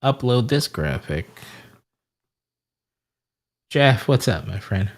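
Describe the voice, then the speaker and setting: A man's voice: mid-range voice, big pitch swings, takes it slowly. A man hosting a casual live stream, greeting viewers and rambling aloud while he fiddles with settings. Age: adult with a wife and family.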